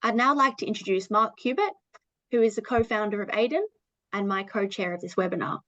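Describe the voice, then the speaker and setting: A woman co-chairing a webinar, opening it in a measured, formal way. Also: mid-range voice, fairly bright tone, no room sound, dull audio.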